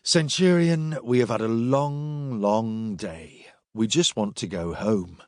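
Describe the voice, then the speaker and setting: A man voicing a weary, steely male Roman agent in an audiobook, speaking in a measured, dry, firm way. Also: medium pitch, a little mellow, no echo, close to an everyday voice. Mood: firm, reasoning.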